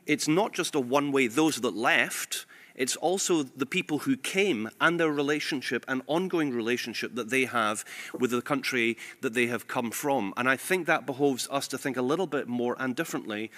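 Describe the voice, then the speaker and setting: A man whose first language is German gives an earnest public speech at a panel, halting with many fillers and restarts. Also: medium pitch, bright, forceful tone. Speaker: panel speaker at a party event. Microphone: handheld microphone.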